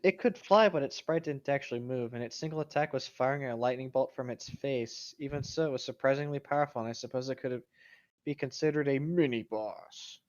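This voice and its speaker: A man's voice: medium pitch, brisk, a little mellow, no room sound, highs cut off. A man chatting casually with friends and reading a story aloud in an informal way. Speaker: co-reader on a group creepypasta reading.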